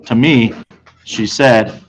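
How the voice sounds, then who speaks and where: An American man reading a children's novel aloud as a teacher, with expressive storytelling. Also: medium pitch, takes it slowly. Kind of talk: audiobook-style read-aloud of children's novel, first person.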